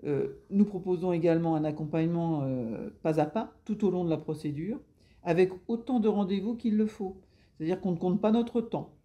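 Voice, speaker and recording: Woman with a very dark, mellow voice. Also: on the low side, normal speed. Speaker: public service agent, interviewee. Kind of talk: explanatory interview answers.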